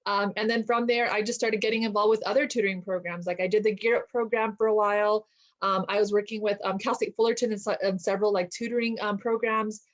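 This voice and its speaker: A woman speaking as a math teacher on a panel in a relaxed, conversational way with frequent ums. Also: medium pitch, fast. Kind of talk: panel discussion. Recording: dull lo-fi audio.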